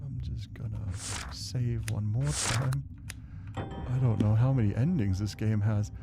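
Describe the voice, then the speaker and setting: A man's voice: lowish voice, steady tone, unhurried, creaky, heavy background noise. A man doing casual let's play commentary, muttering sweary asides and reading bits of game text aloud to himself. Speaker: gaming streamer.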